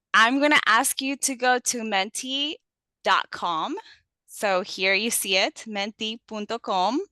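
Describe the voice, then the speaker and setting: A woman's voice: medium pitch, bright, forceful tone, clear, resonant tone, no echo. A young adult woman from Colombia giving an online presentation to teachers, friendly and explanatory with frequent ums. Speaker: guest speaker, teacher trainer.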